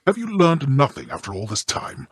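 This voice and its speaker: A man playing a stern, scornful god-king of the underworld in a video game, speaking in a formal, haughty, measured way with a gravelly, husky voice. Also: mid-range voice, huge pitch swings, dry recording, exaggerated voice. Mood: scolding. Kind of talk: theatrical video game character dialogue.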